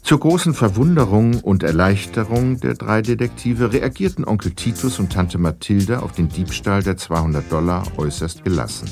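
A man narrating a detective audio drama in a composed, measured way with precise diction. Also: lowish voice, animated, over music. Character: composed storyteller of a youth detective mystery.

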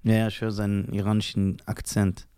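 A man's voice: fairly low pitch, monotone, brisk, dark tone, clear, resonant tone. A man from Iran co-hosting a casual podcast chat, reading entries from a list aloud with mock drama. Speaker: podcast co-host.

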